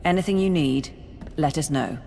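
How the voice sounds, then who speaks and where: A woman playing a brisk, dry-witted Imperial military aide in a video game, businesslike and wry. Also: deep voice, creaky, dark tone. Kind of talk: video game briefing dialogue. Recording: game music behind the voice.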